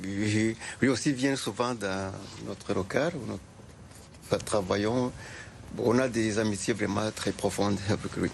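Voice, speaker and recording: Older guy from rwanda, gravelly, short simple answers in an interview. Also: fairly low pitch, measured pace, a little hiss or hum. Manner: plain, short hesitant answers. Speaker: guest, sorghum liqueur maker.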